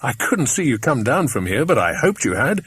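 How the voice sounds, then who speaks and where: A man voicing a brisk, hearty, commanding English man in an audiobook. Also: mid-range voice, very animated, fairly bright tone, breathy edge, no room sound, voice pushed up, exaggerated voice. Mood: hearty, relieved. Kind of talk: audiobook character voice.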